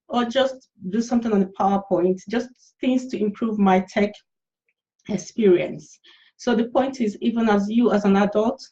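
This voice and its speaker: A woman's voice: medium pitch, warm tone. A woman in her thirties with a Nigerian Igbo accent, speaking informally and encouragingly to the camera as a language teacher. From Enugu State, Nigeria. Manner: encouraging, often checking in with listeners. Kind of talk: informal instructional talk to camera. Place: small plain room indoors. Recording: slightly dull webcam audio.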